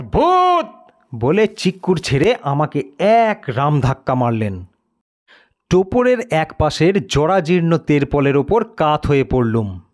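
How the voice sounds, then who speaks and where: A man's voice: on the high side, darkish tone. A man narrating a scripted first-person story in a dramatic style.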